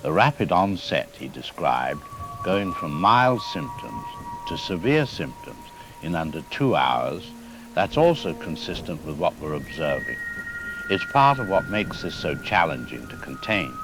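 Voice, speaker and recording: A man's voice: extremely low pitch, very animated, a little slow, music in the background, heavily acted, poor audio. A man playing a cautious government doctor in a radio drama interview, speaking in a careful, diplomatic, official manner. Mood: guarded concern. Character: cautious, measured male government doctor giving expert comment.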